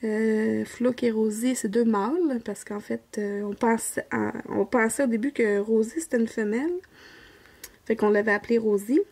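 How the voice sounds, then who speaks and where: Husky, creaky Québécois woman, chatty. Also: a little high, steady tone, normal speed, a little mellow. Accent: Québécois French. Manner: rambling, chatty, self-correcting, often trails off to a near whisper. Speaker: diamond painting vlogger. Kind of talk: casual chat to viewers while crafting.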